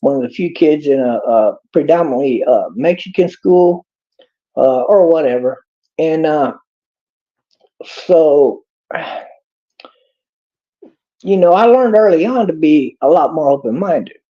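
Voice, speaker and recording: A man's voice: a little high, expressive, a little slow, long pauses. A man with a Texas accent giving a rambling, preachy monologue in a folksy drawl. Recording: dull lo-fi clip.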